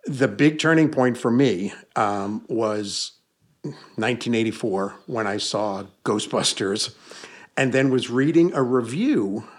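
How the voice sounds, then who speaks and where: Husky, chatty middle-aged man telling stories. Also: medium pitch, lively intonation, takes it slowly. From Hudson Valley, New York. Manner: chatty, good-humored, with frequent restarts. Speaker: paranormal investigator and author. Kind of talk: casual interview storytelling. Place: basement room with bookshelves.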